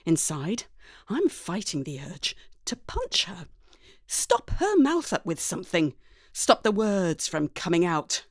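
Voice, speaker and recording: A woman with a British accent reading a first-person story dramatically, with an expressive, wry, exasperated manner. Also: on the low side, takes it slowly, no room sound, clean studio sound. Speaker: story reader.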